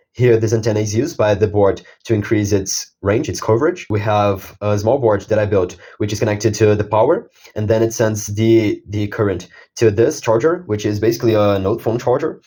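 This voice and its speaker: Low-voiced teenage guy presenting. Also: dark tone. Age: teens. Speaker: student inventor presenting own project. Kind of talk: explanatory project demo.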